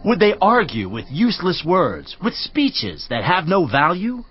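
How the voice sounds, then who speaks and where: A man's voice: a little high, slightly rough, dry recording, exaggerated voice. An actor playing a stern man who rebukes his friend with a string of reproachful, lecturing questions. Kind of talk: dramatized scripture reading. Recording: dry, slightly dull studio audio.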